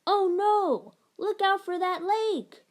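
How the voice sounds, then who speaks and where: A woman's voice: extremely high pitch, slow pace, voice pushed up. A woman voicing an eager, imaginative little boy in a picture-book story, exclaiming playfully. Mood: excited, playful.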